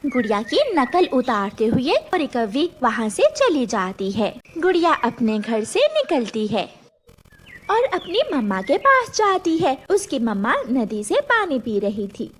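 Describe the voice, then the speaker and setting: A woman's voice: on the high side, very animated, unhurried, a little hiss or hum. A woman narrating a scripted children's story as its storyteller.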